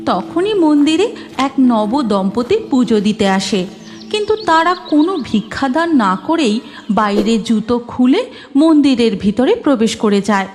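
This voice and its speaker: A woman reading scripted cartoon story narration in an expressive, dramatic way. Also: on the high side, normal speed, faint music behind.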